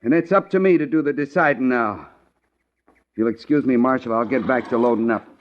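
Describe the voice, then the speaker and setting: A stubborn young homesteader, acted by a man with a rural American accent and a mid-pitched voice. He is brusque and defensive. The old radio drama recording is dull and muffled. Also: brisk, background noise, close to an everyday voice. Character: stubborn, curt young farmer and husband. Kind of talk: old-time radio western acting.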